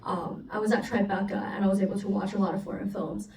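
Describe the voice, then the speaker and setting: A woman's voice: medium pitch, steady tone, fast talker, a little mellow. Young woman from Singapore, distant roomy sound. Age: 20s. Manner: chatty, peppered with "like". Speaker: film student and festival panelist. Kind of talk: casual panel answer. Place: panel event room with ambient noise. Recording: distant roomy event mic, background noise.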